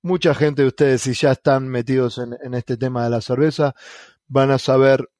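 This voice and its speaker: Argentine man, podcast co-host, mid-pitched voice, dry close sound, halting with fillers. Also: steady tone, darkish tone, dry recording. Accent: Argentine Spanish. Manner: hesitant, many fillers and restarts. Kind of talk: casual podcast chat.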